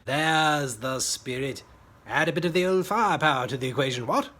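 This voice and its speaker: A man voicing a jaunty, pompous, excitable WWI pilot in a storybook reading, with old-fashioned officer bluster. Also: on the high side, moderate pace. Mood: grandly enthused.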